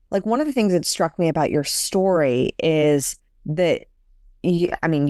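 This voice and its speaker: Woman host, low voice, chatty, eager, dry close sound. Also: dry recording. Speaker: podcast host. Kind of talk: casual interview chat.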